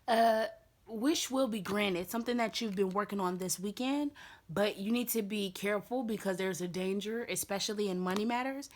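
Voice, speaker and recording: A woman with a slightly raspy voice giving a casual tarot reading, chatty and repetitive, with lots of fillers. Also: medium pitch, moderate pace. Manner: chatty, repeats herself with many fillers. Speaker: tarot reader.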